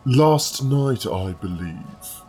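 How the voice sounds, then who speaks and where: A man's voice: medium pitch, expressive, extremely slow, mellow, faint music behind. A man playing a callous, smug businessman, drawling with dry humour in an audio drama. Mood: callous amusement. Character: callous, droll, well-fed male businessman gossiping about a death.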